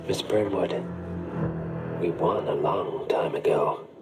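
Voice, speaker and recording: A man playing a suave, cryptic older spymaster in a video game, measured, insinuating and condescending. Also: low voice. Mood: smooth, persuasive. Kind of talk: cinematic video game acting. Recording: loud music behind the voice.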